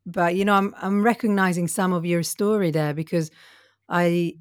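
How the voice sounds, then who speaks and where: A woman's voice: lowish voice, fairly even intonation, moderate pace, no room sound, studio quality. A woman from Italy interviewing in a reflective, conversational way and sharing her own experience.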